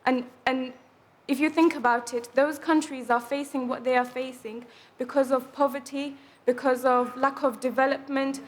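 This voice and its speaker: A woman's voice: sits high, fairly even intonation, moderate pace, slight background noise. A woman from Afghanistan speaking earnestly in a live audience debate. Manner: earnest, questioning. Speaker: audience member.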